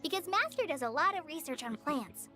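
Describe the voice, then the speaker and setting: A woman's voice: high-pitched, sing-song, brisk, pushed and bright, heavily acted. A woman voicing an earnest, kindly young forest ranger trainee in a video game, polite, eager and a little flustered. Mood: admiring. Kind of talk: video game anime-style voice acting. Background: game music.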